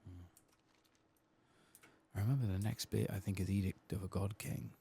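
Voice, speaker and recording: A man speaking softly and often whispering in a hushed, murmuring way as he hosts an ASMR game playthrough. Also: deep voice, fairly even intonation, moderate pace, creaky, slightly rough. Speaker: host of a gameplay ASMR series. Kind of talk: soft-spoken and whispered ASMR game playthrough, reading quest text aloud.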